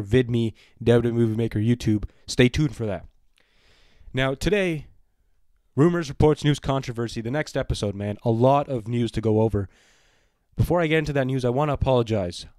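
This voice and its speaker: A young man vlogging wrestling news casually, rambling with fillers and clearing his throat as his voice fades. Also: mid-range voice, a little mellow, no echo, studio quality. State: losing his voice.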